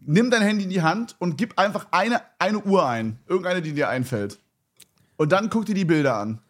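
Slangy young German man, higher voice. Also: moderate pace. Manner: slangy, rambling, excitable. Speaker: podcast co-host. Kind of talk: casual podcast chat.

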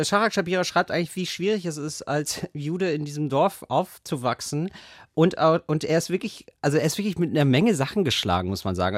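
A man's voice: fairly high pitch, dry recording, studio quality. A man in his thirties co-hosting a podcast, casually retelling a book as a chatty storyteller with lots of fillers and restarts. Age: 30s. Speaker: podcast co-host.

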